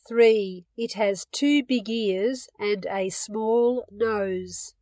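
A woman reading English listening-test items with careful, deliberate enunciation, recorded dry and close. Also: medium pitch, a little mellow, dry recording. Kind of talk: scripted slow test reading.

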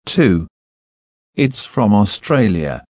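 A man reading short scripted sentences for a language listening test, deliberate and clearly enunciated. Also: lowish voice, sing-song, a little mellow, no room sound, muffled recording.

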